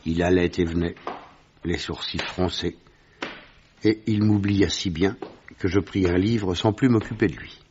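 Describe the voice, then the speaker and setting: A man's voice: sits low, fairly even intonation, unhurried, pauses often, a little mellow, slight background noise. A man playing a sensible, loyal doctor who narrates a French detective radio drama. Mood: composed, storytelling. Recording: dull lo-fi radio recording.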